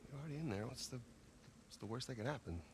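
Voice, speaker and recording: An actor playing a teasing, easygoing treasure hunter. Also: male voice, mid-range voice, expressive, quick pace, a little hiss or hum, natural voice. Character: teasing older-brother male treasure hunter.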